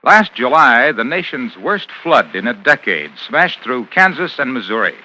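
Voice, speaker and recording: A man's voice: medium pitch, big pitch swings, normal speed, pushed and bright, rough recording. An American man reading scripted commercials as a 1950s radio announcer, with an emphatic salesman delivery on a vintage broadcast recording.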